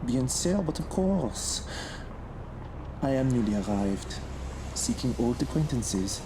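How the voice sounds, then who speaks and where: A silky French villain for an audio drama. He speaks slowly, with polite menace, over clearly audible ambient noise. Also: medium pitch, big pitch swings. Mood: sly menace. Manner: unhurried, silky, ominously polite.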